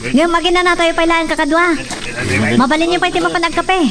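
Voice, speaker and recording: A woman's voice: very high-pitched, flat delivery, fast talker. A woman playing a determined young daughter who trains fighters in an audio drama, speaking earnestly. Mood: instructing. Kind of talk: Ilocano radio-style drama acting. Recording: distant, noisy with chirping insects.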